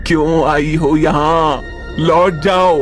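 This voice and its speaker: A woman's voice: sits low, steady tone, soft background music. A woman voicing a scary old crone in a horror cartoon, grimly and ominously warning people away. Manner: slow, ominous. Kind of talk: Hindi horror cartoon dubbing.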